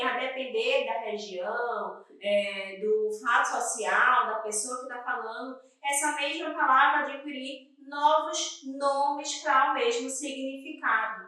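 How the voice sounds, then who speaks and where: A woman's voice: high-pitched, sharp tone, very echoey, recorded from a distance, low-quality recording. A young woman from Northeast Brazil with a Northeastern Brazilian accent, teaching an exam-prep lesson and checking in with "tá?" after nearly every point. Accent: Northeastern Brazilian Portuguese. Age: young adult. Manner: explanatory, frequent "tá?" check-ins.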